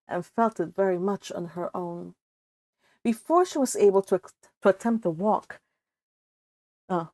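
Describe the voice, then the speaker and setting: A woman hosting a book read-along, moving between casual, chatty talk and expressive reading aloud, with informal asides. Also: medium pitch, no room sound. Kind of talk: casual livestream chat and expressive audiobook-style reading aloud.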